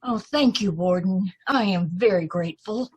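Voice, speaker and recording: Husky, earnest young woman played by an actress. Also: medium pitch, moderate pace, close to an everyday voice. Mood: earnest, hopeful. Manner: earnest, hesitant, polite. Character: earnest, anxious, polite young woman seeking her lost brother. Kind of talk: amateur old-time radio play acting.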